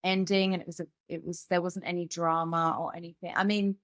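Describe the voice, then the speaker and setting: A woman's voice: fairly low pitch, level tone, a little fast, dry recording, studio quality. A woman from the United Kingdom, a musician guest in an interview, telling her personal story at length, rambling with many fillers and restarts.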